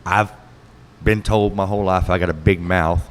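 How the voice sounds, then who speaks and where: A middle-aged man with a loud, carrying voice, answering interview questions casually as a city council candidate at an outdoor bar stage. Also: deep voice, steady tone, moderate pace, long reverb tail.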